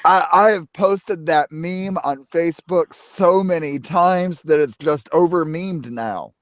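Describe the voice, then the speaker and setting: A man's voice: on the high side, slow, no room sound, clean studio sound. A man on a group video call, talking in a wry, joking way. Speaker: call participant.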